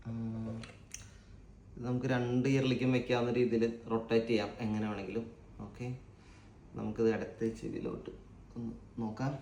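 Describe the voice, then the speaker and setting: A man's voice: mid-range voice, level tone, normal speed, pauses often, darkish tone. A Malayali man hosting a casual unboxing vlog, informal and a little hesitant as he thinks aloud. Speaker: vlog host. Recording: echoey room with faint background noise.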